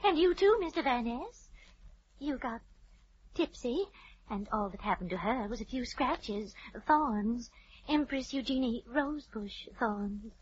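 A woman's voice: on the high side, animated, unhurried, slight background noise. An actress playing a genteel, sweetly poised society lady suspected of poisoning, in an old-time radio drama. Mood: soothing, poised. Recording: muffled old radio recording.

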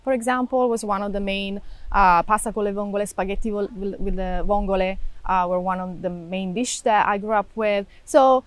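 Italian-accented woman in her thirties, shop owner, halting casual talk with laughs. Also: medium pitch, fairly even intonation, dry recording. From Italy. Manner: halting, restarts often, laughs easily. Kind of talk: casual interview chat.

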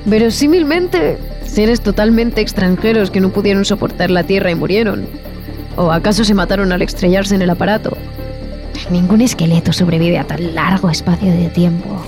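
A woman's voice: medium pitch, natural voice. Woman acting a haunted explorer, dramatic storyteller over music. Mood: skeptical, wondering. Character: haunted explorer recounting a terrifying jungle expedition. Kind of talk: dramatized horror audio fiction narration.

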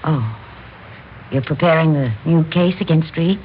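A woman acting a worried, hesitant young woman in a mid-century radio drama, speaking tentatively. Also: deep voice. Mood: anxious, tentative. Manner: tentative, halting. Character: Alice, hesitant, worried young woman, loyal but doubting. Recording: thin, phone-like old radio recording, faint noise.

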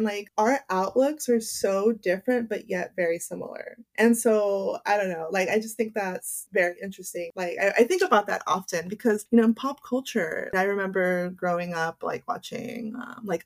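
A woman in her late thirties hosting a podcast, talking in a chatty, reflective way, with lots of fillers and frequent laughs. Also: medium pitch. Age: 30s. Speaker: podcast host. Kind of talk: casual chat.

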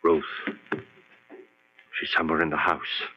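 An actor playing a desperate, obsessed doctor pleading and coaxing in an old-time radio horror drama. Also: male voice, a bass voice, completely flat delivery, a little slow, husky, full character voice. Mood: distraught, grim. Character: desperate, obsessed doctor doting on revived sweetheart. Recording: muffled old radio recording.

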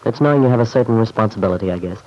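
A male actor as a tired, stoic man in a vintage radio play. His voice is low and subdued, and he speaks politely with a hesitant, downbeat manner. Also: rapid-fire, warm tone, slight background noise, natural voice, low-quality recording. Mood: weary, resigned. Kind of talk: old-time radio drama acting.

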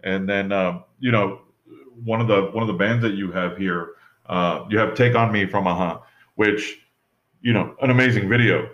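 Man, low voice, rambling casual chat, muffled lo-fi recording. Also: normal speed, fairly bright tone. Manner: rambling, many fillers and restarts. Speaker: podcast co-host. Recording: dull lo-fi sound.

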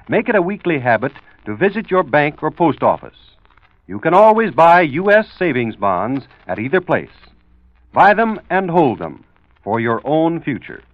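A man's voice: fairly high pitch, dry recording. A man reading scripted copy as a 1940s radio announcer, polished and emphatic. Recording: muffled old broadcast with music.

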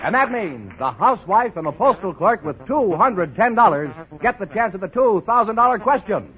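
A man's voice: high voice, very animated, moderate pace, background noise. An American man announcing a 1940s radio quiz show in a formal broadcast style. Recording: dull vintage radio broadcast.

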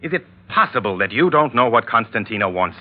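A man's voice: medium pitch, big pitch swings, fairly quick, slightly rough. An actor playing a suave, educated villain's henchman with a dry, superior, precisely worded manner in an old-time radio drama. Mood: smug, composed. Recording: tinny vintage radio recording.

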